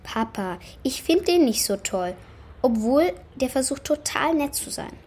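High-voiced, curious schoolgirl. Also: big pitch swings, measured pace, darkish tone, husky, exaggerated voice. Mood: defensive, casual. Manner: plain, chatty, childlike phrasing. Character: young schoolgirl, matter-of-fact, curious and a little defensive. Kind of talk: German children's radio play acting.

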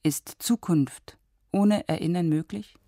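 A woman's voice: fairly low pitch, takes it slowly, dry recording, studio quality. A woman reading scripted documentary narration in a composed, formal manner. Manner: composed, even, formal delivery.

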